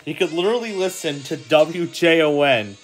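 A man's voice: a little high, expressive, moderate pace, faint music behind. A man giving a casual hands-on tutorial as its host, thinking aloud as he works through the steps indoors. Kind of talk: casual hands-on app demonstration.

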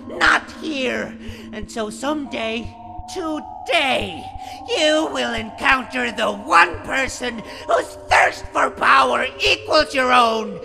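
A performer voicing a taunting, gloating demon who foretells doom in a comic dub, mocking, drawn-out and ominous. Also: medium pitch, vocal fry. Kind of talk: theatrical comic-dub villain acting. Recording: music behind the voice.

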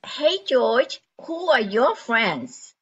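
An older woman playing an unhurried barmaid in an amateur radio drama. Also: on the high side, sing-song, a bit bright, exaggerated voice. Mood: matter-of-fact. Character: Nadine, unhurried dive bar barmaid. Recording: muffled, noisy room recording.

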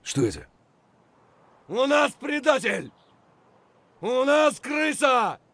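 An actor playing an aggressive, foul-mouthed mercenary in a military shooter game, giving short, punchy combat callouts. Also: male voice, very high-pitched, very animated, takes it slowly, gravelly, fairly bright tone, dry recording, full character voice. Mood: hushed, wary.

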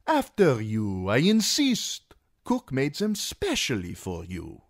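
A man putting on a fat, self-satisfied merchant lord who purrs his taunts in a genial, drawn-out, theatrical way. The voice sits noticeably higher than a plain deep baritone and swings widely in pitch. It is recorded dry and close. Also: wildly swooping pitch, no echo, full character voice. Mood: mocking. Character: fat, jovial merchant magister, smug and needling. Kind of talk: audiobook character reading.

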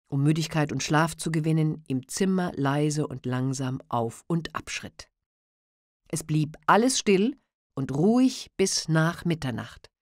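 A woman narrating a classic story for an audiobook in a measured, expressive reading. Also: low voice, no room sound, studio quality. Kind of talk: scripted literary narration.

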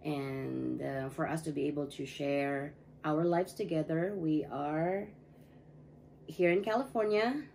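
A woman's voice: deep voice, slow pace, creaky, mellow, slight background noise. A woman in her thirties from the Philippines, chatting casually on a couch in her living room about the family channel, with many fillers and restarts. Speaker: family vlogger.

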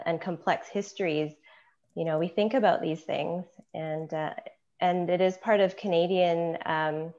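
Lower-pitched woman hosting a video panel. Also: a little mellow. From Kenya. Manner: thoughtful, measured, reflective. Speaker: panel host. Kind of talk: moderating a video call panel. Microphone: earbud headset on video call. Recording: dull lo-fi call audio.

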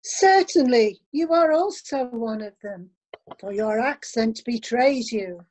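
A woman plays an accusing onlooker in a bible drama. The voice is fairly high and pointed at someone in accusation. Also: animated, slow pace, darkish tone, rough recording. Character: accusing witness, servant girl or bystander. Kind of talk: dramatic scripture reading.

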